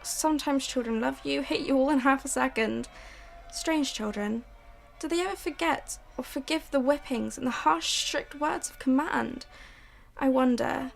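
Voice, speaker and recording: Young female narrator, brooding delivery, high voice with fry. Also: faint music behind. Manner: measured, brooding storytelling. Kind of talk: scripted dramatic narration.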